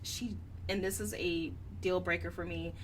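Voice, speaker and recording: A woman's voice: fairly low pitch, fairly even intonation, normal speed. A woman co-hosting a podcast, giving casual book critique in a blunt, opinionated way. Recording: distant mic, faint background noise.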